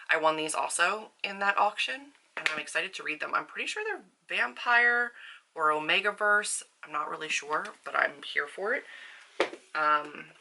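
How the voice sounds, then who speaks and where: A woman talking in a casual, upbeat, chatty vlog style, informal and full of quick asides. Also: sits low, pushed and bright, slight background noise, low-quality recording. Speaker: book vlogger and author. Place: indoors at a desk at home.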